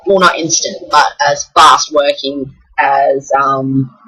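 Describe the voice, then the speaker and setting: A young Australian man from the south coast of New South Wales, talking frankly and in a rambling way in a personal vlog, with many pauses. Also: medium pitch, steady tone, pushed and bright, breathy edge, low-quality recording. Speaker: vlogger. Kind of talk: casual personal vlog talk.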